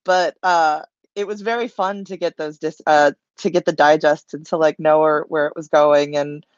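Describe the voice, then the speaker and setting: A woman's voice: sits low, steady tone, normal speed, dry recording, dull audio. A woman panelist chatting casually, with an informal, self-deprecating way of talking. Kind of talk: casual chat.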